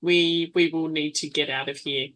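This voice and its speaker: A woman with a slightly low, bright voice talks as a player in a tabletop game. Her delivery is casual and tentative, as if working out each move aloud. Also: steady tone, normal speed.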